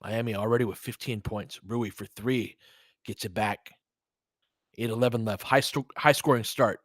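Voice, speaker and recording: A man's voice: on the low side, steady tone, moderate pace, no room sound, clean studio sound. A man doing casual basketball play-by-play as a stream host, in clipped phrases with chatty asides to listeners.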